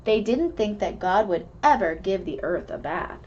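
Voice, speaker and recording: A woman reading a rhyming children's book aloud in an expressive, measured storytelling manner. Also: mid-range voice. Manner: animated, measured storytelling delivery. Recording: dull lo-fi recording with background noise.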